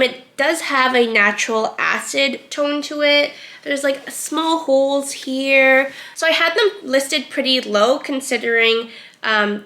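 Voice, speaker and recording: A woman's voice: high voice, sharp tone. A young woman vlogger getting over a cold, chatting casually about items she has sold. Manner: chatty, with frequent "um" and "like". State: recovering from a cold. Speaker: reseller vlogger. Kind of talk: casual vlog narration.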